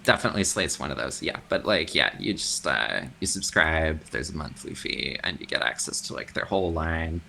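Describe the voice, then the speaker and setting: A man with a very low, gravelly voice that still carries a bright, cutting edge. He talks in a loose, chatty way and drops casual swears as a guest on a podcast. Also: lively intonation, normal speed, few pauses, a little hiss or hum. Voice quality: deep voice. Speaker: guest, extreme metal vocalist. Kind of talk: casual interview chat.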